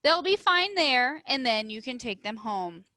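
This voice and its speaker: Firm, bright-voiced storybook schoolteacher read by a woman. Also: fairly high pitch, sing-song, no echo, exaggerated voice. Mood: firm, calm. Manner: firm, measured, admonishing.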